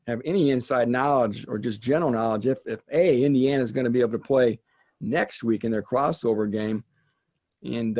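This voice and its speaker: A man's voice: medium pitch, mellow. An American man asking questions on a sports talk show in a conversational, roundabout way.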